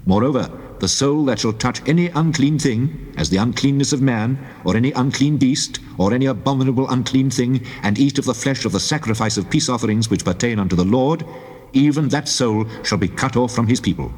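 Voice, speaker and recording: A man playing the Lord God as a solemn, commanding lawgiver, declaiming in measured, resonant phrases in a dramatized scripture reading. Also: mid-range voice, a little mellow, husky, natural voice. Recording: long cavernous reverb.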